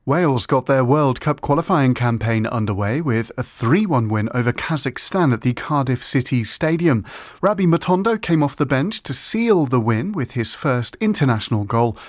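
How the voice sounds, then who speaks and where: A man's voice: medium pitch, expressive, normal speed, no echo, studio quality. A man reading a scripted sports bulletin for radio. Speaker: sports news reader.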